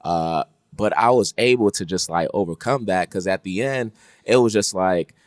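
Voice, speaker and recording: Young man, Haitian Creole first language, low voice, dry sound, earnest podcast storyteller. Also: normal speed, no room sound. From United States, Haitian family. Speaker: podcast co-host. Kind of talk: casual podcast chat.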